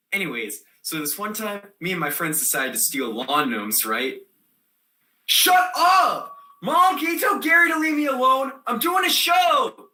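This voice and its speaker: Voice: mid-range voice, bright, forceful tone. An actor playing a scatterbrained, defiant theater student telling a comic monologue in slangy, rambling style, given to sudden outbursts. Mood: sheepish, grumbling. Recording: echoey room.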